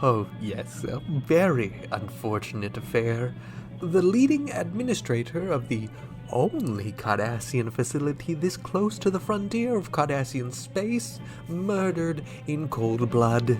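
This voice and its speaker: A man playing a scheming, coolly menacing alien ambassador in a sci-fi radio drama, formal, deliberate and insinuating. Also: fairly high pitch, sing-song, music in the background. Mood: smugly menacing. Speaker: voice actor.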